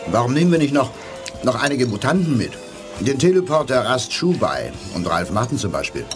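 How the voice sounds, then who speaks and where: A man's voice: medium pitch, big pitch swings, brisk, music in the background, lightly acted. A man playing a blunt, eager crewman. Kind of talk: 1980s German radio play acting.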